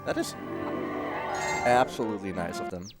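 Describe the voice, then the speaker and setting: Male speedrunner, non-native English, casual wry commentary, animated pitch, game music behind. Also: moderate pace. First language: not English. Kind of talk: casual live gameplay commentary. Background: video game music.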